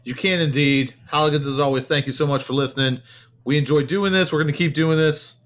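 A man's voice: on the high side, fairly even intonation, a little fast. Teasing man co-hosting a podcast. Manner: friendly, teasing, repeats words. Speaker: podcast co-host. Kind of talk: casual chat.

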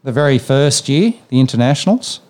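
An Australian man hosting a laid-back sports podcast. He talks in a rambling, conversational way, peppered with "yeah" and "you know", at an ordinary mid pitch. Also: big pitch swings, a little fast, darkish tone. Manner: rambling, with many fillers and "yeah"s. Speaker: podcast host. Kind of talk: casual sports chat.

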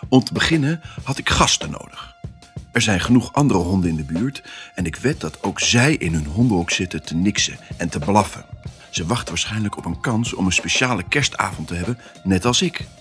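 A male actor voicing an excitable pet dog who tells his own Christmas adventure in an expressive, theatrical family audio drama style. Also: low-pitched, fairly quick, soft background music. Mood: eager, mischievous. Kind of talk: first-person storytelling in a family audio drama.

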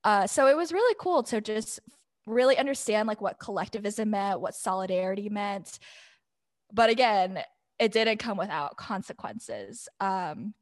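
A woman's voice: medium pitch, normal speed, no room sound. A young woman with a mid-range voice talks as a podcast guest. She tells her story casually and at length, in informal conversational phrasing. The sound is close and dry. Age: young adult. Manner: chatty, informal, long-winded.

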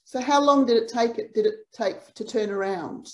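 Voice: medium pitch, moderate pace. Interviewer in a roomy echoing space. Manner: brief affirmations and short questions. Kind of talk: casual interview chat. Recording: roomy echo.

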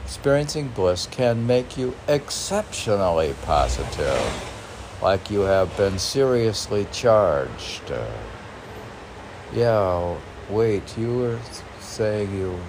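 A man reading poetry and commenting on it informally in a halting, musing, self-questioning manner. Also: on the low side, lively intonation, noisy background. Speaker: poet reading and commenting on own poems.

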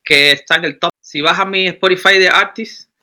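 A man's voice: sits high, moderate pace. A Cuban man in his thirties, a musician talking about his career in a casual interview, rambling with many restarts. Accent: Cuban Spanish. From Cuba. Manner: animated, rambling with frequent restarts. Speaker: musician guest.

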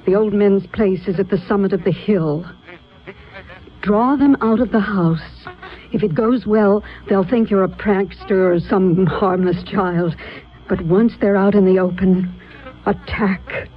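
An actress in a radio drama playing a kindly, composed mentor in witchcraft, speaking in a measured, formal, reassuring way. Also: medium pitch, lightly acted. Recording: muffled, noisy radio broadcast.

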